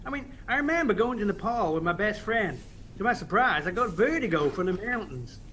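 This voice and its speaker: A man's voice: sits high, lively intonation, brisk, fairly bright tone, slight background noise, rough recording. A man acting in an audio play as an earnest, reflective embassy official with a conversational manner.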